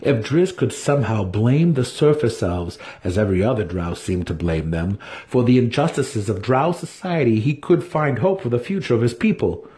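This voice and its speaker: A man's voice: medium pitch, animated, normal speed, few pauses, mellow. A man narrating a fantasy audiobook as a dramatic scripted reading.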